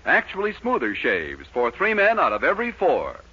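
Forceful, husky radio pitchman. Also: wildly swooping pitch, moderate pace, faint music behind. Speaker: commercial announcer. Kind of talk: scripted 1940s radio advertisement. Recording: dull, lo-fi 1940s radio recording.

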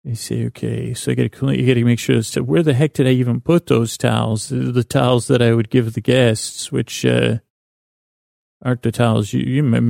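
A man with a creaky voice hosting a bedtime podcast, meandering and halting in a lulling, self-deprecating monologue. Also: medium pitch, darkish tone, no echo, studio quality. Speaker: podcast host.

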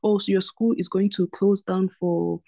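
A young woman with a Southern African accent speaks as a podcast guest, recounting her experience in an explanatory way. Her voice has a noticeable creak and sits at a middle pitch. The sound is dry and close, and she often repeats words for emphasis. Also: fairly even intonation, moderate pace, dark tone, no echo. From Zambia and South Africa. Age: young adult. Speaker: podcast guest, medical doctor. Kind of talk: conversational interview, recounting personal experience.

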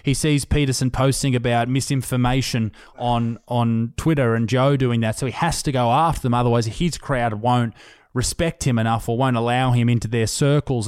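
An Australian man talking on a podcast in a middle pitch, blunt and profane, his thoughts running on. The sound is dry and close with no room around it. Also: darkish tone, clear, resonant tone, no room sound. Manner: blunt, swears freely, loose run-on talk. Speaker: podcast host. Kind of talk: casual chat.

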